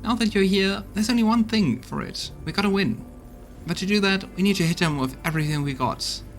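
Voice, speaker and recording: A man's voice: sits high, fairly quick, soft background music, voice pushed up. A man voicing a brash, gung-ho crusade fighter from a fantasy game, giving a punchy, slangy briefing. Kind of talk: acted reading of game dialogue.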